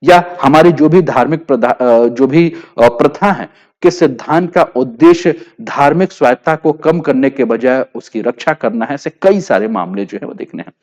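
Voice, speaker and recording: A man's voice: medium pitch, moderate pace, a little mellow. A man with an Indian accent teaching in an explanatory lecture style, didactic, often rephrasing his points and leaning on verbal tags. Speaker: teacher of current affairs for exam students. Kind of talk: explanatory lecture in Hindi with English terms.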